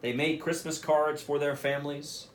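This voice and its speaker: A man, a school principal, reading a picture book aloud for children in an expressive way, with casual asides. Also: on the high side, normal speed, slight background noise. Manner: expressive, addresses children directly.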